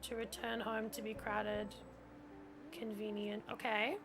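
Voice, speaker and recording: A woman's voice: medium pitch, little pitch movement, measured pace. A woman whose first language is German, chatting casually in a gameplay stream and reading on-screen text aloud, with lots of "like". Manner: chatty, heavy use of "like", reads on-screen tutorial text aloud.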